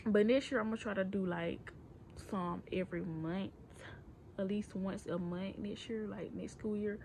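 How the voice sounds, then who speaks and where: A woman's voice: medium pitch, fairly even intonation, normal speed, a little mellow, a little hiss or hum. A teenage girl vlogging casually to her phone at home, chatty and rambling as she talks straight to her viewers. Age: teens. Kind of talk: casual chat to camera. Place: indoors at home, small room. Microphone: phone held close.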